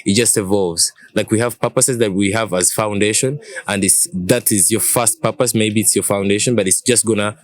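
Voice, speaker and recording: A man in a casual group conversation, speaking reflectively and haltingly as he sums up thoughts. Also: lowish voice, steady tone.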